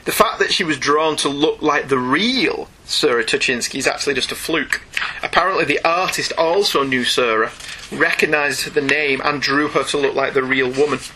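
A man's voice: a little high, moderate pace, bright, forceful tone, slight background noise, rough recording. A man chatting casually as co-host of a comic-book review podcast, opinionated and wry. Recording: music behind the voice. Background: music.

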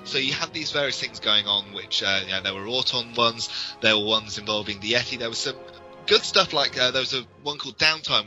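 A man's voice: medium pitch, pushed and bright, dull audio. A man co-hosting a podcast, explaining things in detail in a casual, discursive fan-discussion style. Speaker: podcast co-host.

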